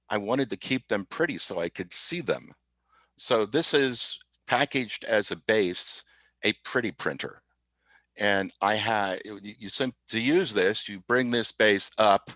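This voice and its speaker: A man explaining software informally as an interview guest, methodical and somewhat halting in his delivery. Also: on the low side, no echo. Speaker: podcast guest, IT specialist. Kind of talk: informal software demo talk.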